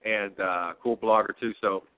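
A male host chatting in an easygoing, halting way with lots of fillers. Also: lowish voice, steady tone, clear, resonant tone. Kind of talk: casual chat. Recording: tinny phone-like sound.

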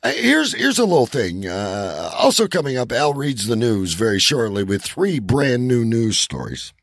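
A man co-hosting a podcast in casual chat, rambling and halting with theatrical emphasis, in a gravelly, husky voice. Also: medium pitch, sing-song, dry recording, studio quality. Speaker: podcast co-host.

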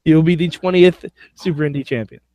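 Blunt, higher-voiced male podcaster. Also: steady tone, brisk, dark tone, no room sound. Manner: blunt, wisecracking, sweary. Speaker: podcast co-host. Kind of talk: casual wrestling chat.

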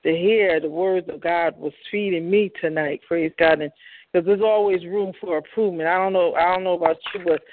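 A woman's voice: on the low side, steady tone, a little fast, a little hiss or hum. A woman, a prophetess, giving a short exhortation and filling her phrases with "praise God" and "hallelujah". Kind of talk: exhortation by phone. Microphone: phone. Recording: tinny phone line.

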